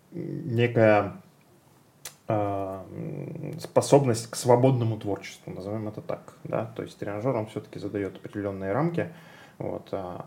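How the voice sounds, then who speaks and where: Gravelly low-voiced Russian man. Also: mellow. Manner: thoughtful, many fillers and hesitations. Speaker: podcast guest. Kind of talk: casual conversation.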